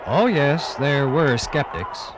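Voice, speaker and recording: An American man narrating a 1950s radio documentary in a theatrical, oratorical manner with dramatic pauses. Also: fairly high pitch, expressive, a loud crowd, very noisy. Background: music.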